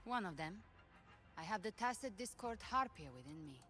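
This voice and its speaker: A woman's voice: medium pitch, lively intonation, moderate pace, a bit bright, close to an everyday voice. An actress playing a guarded, commanding young woman warrior in a video game, terse, cool and assured. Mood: guarded, serious. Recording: music behind.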